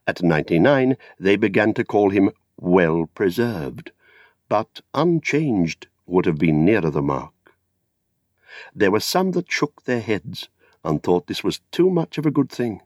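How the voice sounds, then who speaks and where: A British man reads a literary tale as an audiobook narrator. His voice sits very low but moves widely in melody, with a measured, theatrical delivery. The recording is close and dry. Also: a little mellow, dry recording. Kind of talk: scripted literary storytelling narration.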